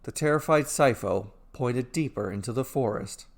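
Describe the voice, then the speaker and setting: A man narrating a scripted fairy tale as a storyteller, in a measured, deliberate way. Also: mid-range voice. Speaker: storyteller narrating his own fairy tales.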